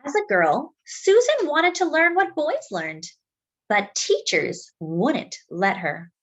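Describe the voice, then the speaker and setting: A woman reads a picture book aloud expressively, explaining things simply and deliberately as if to young children. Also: high voice, huge pitch swings, fairly bright tone, low-quality recording. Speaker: children's storybook reader.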